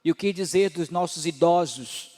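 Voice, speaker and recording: A man in his forties preaching a sermon as pastor, building to emphatic rises and dropping low again. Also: moderate pace, a little mellow. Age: 40s. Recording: long hall reverb.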